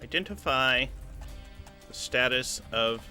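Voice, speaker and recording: Bright-voiced man prepping a game. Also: medium pitch, animated, takes it slowly. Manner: thinks aloud, reads long passages, hums to himself. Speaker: game master prepping a campaign. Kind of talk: casual solo prep stream, reading rules aloud. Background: background music.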